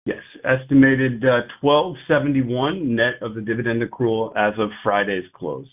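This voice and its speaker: Male executive, formal earnings-call delivery, measured, technical, mid-pitched, thin telephone sound. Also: steady tone. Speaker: company executive. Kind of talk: prepared investor remarks and analyst Q&A on an earnings call. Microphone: conference call line. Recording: tinny narrow-band phone line.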